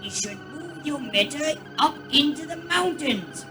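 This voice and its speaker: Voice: high voice, expressive, measured pace, over loud music. An actor voicing a bickering, warlike alien leader in a comic radio play, with a wild, exclamatory manner. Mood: bloodthirsty, excitable.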